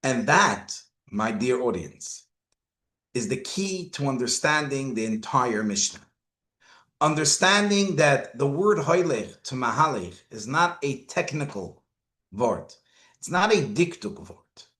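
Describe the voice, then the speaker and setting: A man's voice: mid-range voice, very animated, takes it slowly, a bit bright, reverberant. A man giving a Torah lecture as a rabbi, asking rhetorical questions and mixing Hebrew and Yiddish terms into his English. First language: not Hebrew. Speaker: rabbi teaching.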